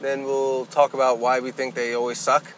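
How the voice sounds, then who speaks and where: Male podcast co-host, mid-pitched, casual and loosely profane, faint ambient noise behind him. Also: completely flat delivery, moderate pace, a bit bright.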